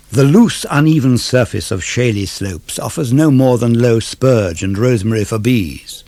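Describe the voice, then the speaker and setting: A man reading poetry for radio in a measured, declamatory way, with rising and falling phrases. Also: fairly low pitch, gravelly, darkish tone, no echo. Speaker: poetry reader. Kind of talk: dramatic radio verse reading.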